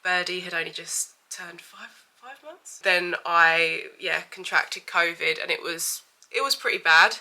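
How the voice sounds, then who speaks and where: A woman's voice: on the low side, measured pace, hardly any pauses, pushed and bright. A woman in her thirties with a cold and a blocked-up, sniffly nose, chatting candidly to camera as a vlogger. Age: 30s. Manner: chatty and candid, with frequent fillers. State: has a cold. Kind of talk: casual chat to camera.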